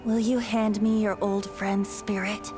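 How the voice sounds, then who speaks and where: A woman's voice: medium pitch, level tone, unhurried, music in the background, close to an everyday voice. A woman voicing a youthful male bard who is an ancient wind spirit in a game, playful, airy and theatrical. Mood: gentle, solemn. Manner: theatrical, whimsical. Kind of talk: game character dialogue.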